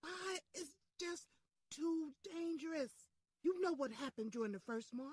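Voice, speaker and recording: An actress playing a worried, blunt friend in a radio-style drama, urgent and pleading. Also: female voice, sits high, animated, unhurried, darkish tone, no echo. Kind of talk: old-time radio style dramatic acting.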